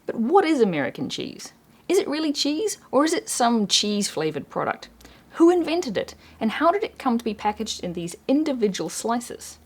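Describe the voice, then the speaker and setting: A young woman from New Zealand with a New Zealand accent, hosting a history channel in clear, measured scripted narration. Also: fairly high pitch, big pitch swings.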